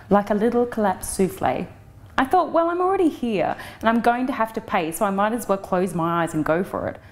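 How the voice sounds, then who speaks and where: A woman's voice: on the low side, a little hiss or hum. A woman reading a first-person novel excerpt as an actress, in a frank, matter-of-fact storytelling manner. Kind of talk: first-person audiobook narration.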